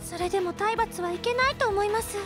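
A woman playing a kind, devoted young magic teacher in an anime radio drama, polite and gently instructive. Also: extremely high pitch, brisk, music in the background. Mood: gently correcting.

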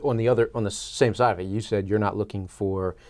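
A man's voice: on the low side, steady tone, fast, a little mellow. A man in his thirties hosting an interview, phrasing his questions in a tentative, searching, conversational way. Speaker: podcast host, interviewer. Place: small indoor podcast studio.

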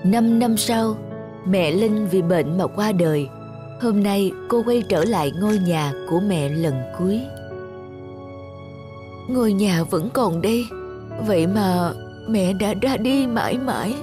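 A woman narrates a scripted cartoon story at a medium pitch. Music plays clearly behind her voice. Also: slow pace.

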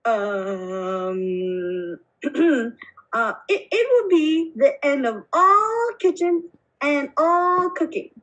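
A woman's voice: sits high, very animated, drawn-out words, fairly bright tone, exaggerated voice, rough recording. A woman voicing an eccentric, brusque male candy maker in an amateur puppet show, theatrical and dismissive. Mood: boastful.

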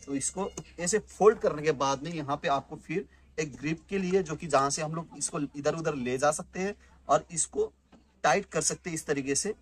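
A chatty man in his thirties talks viewers through camping gear in a casual home vlog, often stopping to restart a phrase. His voice sits a little high for a man. He is recorded on a phone camera, close up indoors and then farther off on an open rooftop. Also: moderate pace. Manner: chatty, many fillers and restarts, addresses viewers as guys. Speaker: vlogger reviewing gear. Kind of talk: casual product unboxing vlog.

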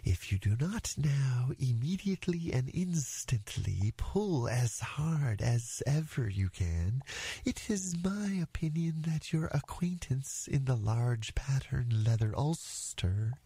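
A pompous old-fashioned python from a storybook, solemn and long-winded. Each formal phrase is stretched ponderously, with wide swings of pitch in a breathy, husky tone. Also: male voice, sing-song, slow, dark tone, no room sound, exaggerated voice. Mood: pompous, urgent. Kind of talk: storybook character voice.

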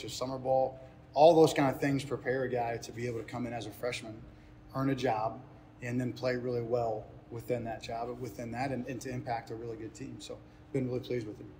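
A man's voice: medium pitch, mellow. A man in his thirties speaks as a coach answering the press. He explains things earnestly in loose, unscripted phrases that he often repeats. Faint ambient noise sits behind him. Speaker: head baseball coach.

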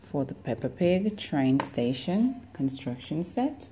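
A woman narrating a toy unboxing, gently explaining each step as she builds a set at a table. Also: low-pitched, sing-song, slow, noisy background. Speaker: toy reviewer. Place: indoors at a table, voice behind the camera.

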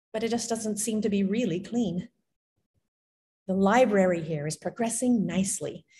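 A middle-aged woman reading a letter aloud expressively, clearly enunciated and conversational, like an actress at a podium microphone. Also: medium pitch, a little mellow, slight background noise. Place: indoor auditorium stage at a lectern. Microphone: gooseneck podium microphone.

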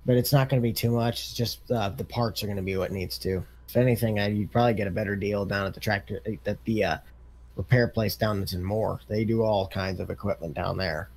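Mellow-voiced man in casual game role-play. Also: on the low side, steady tone, brisk. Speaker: role-play partner playing equipment dealer.

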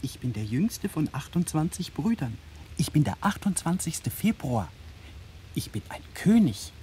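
A woman's voice: deep voice, big pitch swings, measured pace, warm tone, husky, exaggerated voice. A woman in a German radio play, playing a tiny kindly king of a single day, confiding and solemn in storybook fashion. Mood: friendly. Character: tiny kindly February day-king, youngest of twenty-eight brothers.

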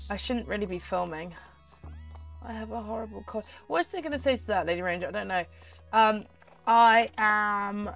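A young woman with a cold, hoarse and chatty, commenting on a horse game she plays at home into a desk mic. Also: mid-range voice. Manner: chatty, talks to the game and viewers. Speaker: gaming channel host. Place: home room, indoors. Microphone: desk microphone on stand.

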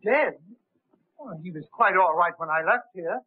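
A fussy, absent-minded gentleman in an old 1930s film. His voice sits high and he dithers with prissy indignation. The soundtrack is thin and muffled. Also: animated, moderate pace, slightly rough, no room sound. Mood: bewildered.

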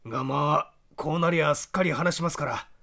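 A man's voice: on the high side, brisk, vocal fry, bright, forceful tone. A man voicing a troubled, earnest young diner owner in audiobook dialogue, explaining himself in a conversational way. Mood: earnest, confiding.

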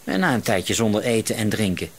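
An actor playing an eccentric, misanthropic middle-aged shopkeeper in a radio drama, musing to himself in a rambling, gloating way. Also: male voice, fairly low pitch, brisk, heavy background noise, lightly acted. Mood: gloating menace.

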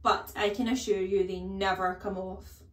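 A woman voicing a bullying, booming headmistress who barks her words slowly and with contempt, for an audiobook. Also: level tone, roomy sound, natural voice. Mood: barking, scornful. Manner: loud, barking, slow and contemptuous. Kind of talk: audiobook character voice.

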